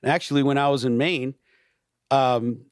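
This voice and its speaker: A pastor and worship leader in casual podcast conversation, animated and restarting his sentences often, peppered with "you know". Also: mid-range voice, normal speed, dry recording, studio quality.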